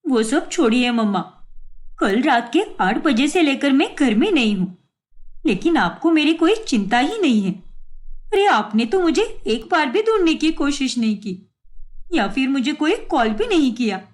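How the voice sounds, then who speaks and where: A woman acts out a young woman in love, demanding and quick to flare up, for a cartoon moral tale. The voice is high and very animated, with dramatic emphasis on her feelings. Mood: angry, hurt. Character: lovestruck, demanding, hot-tempered young woman. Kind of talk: animated moral story acting.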